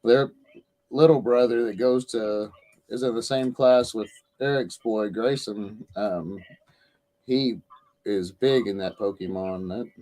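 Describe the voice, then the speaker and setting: A man's voice: lowish voice, takes it slowly, mellow, low-quality recording. An older man hosting a live stream in casual chat, rambling and hesitant, full of fillers. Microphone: video call.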